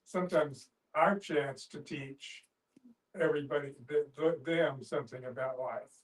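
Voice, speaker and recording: Higher-voiced older man, off-mic. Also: measured pace, recorded from a distance, poor audio. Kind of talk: casual group discussion.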